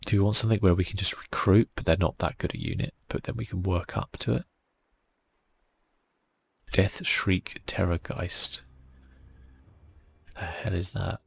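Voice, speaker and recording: A man hosting a relaxed ASMR video game playthrough, speaking softly and musing aloud as he goes. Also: low-pitched, measured pace, vocal fry, long pauses, slightly rough. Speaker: gaming channel host.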